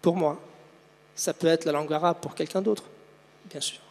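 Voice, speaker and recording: A man from Tunisia with a fairly high voice speaks as a guest author on a panel. He talks haltingly, circling back over words, in a hall with long reverb. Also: steady tone, darkish tone. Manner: hesitant, halting, repeating words. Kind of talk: spontaneous answers at a public book panel. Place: large hall at a book fair.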